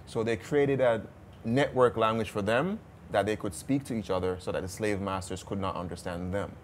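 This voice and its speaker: A Jamaican man chatting casually as a travel vlogger, relaxed and talkative with some stammered restarts. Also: on the low side, normal speed, a little hiss or hum. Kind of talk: casual travel vlog chat.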